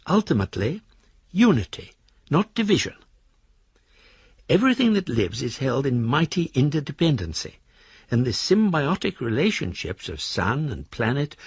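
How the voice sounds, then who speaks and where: An actor playing a philosophical fugitive embezzler in a British radio drama, a mannered gentleman with a British accent reading his formal, ornate letters aloud. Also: medium pitch, gravelly, breathy edge, no echo, muffled recording, exaggerated voice. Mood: lofty, emphatic, then reflective, courteous.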